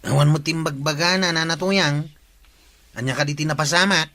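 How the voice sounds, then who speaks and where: A man's voice: a little high, brisk, bright, forceful tone. An actor playing a disgruntled, plain-spoken village man with firm opinions in a comedy radio drama.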